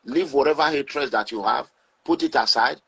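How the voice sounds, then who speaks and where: A Nigerian man speaking in a forceful, preaching way as he gives advice to his online audience. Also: mid-range voice, moderate pace, vocal fry, breathy edge.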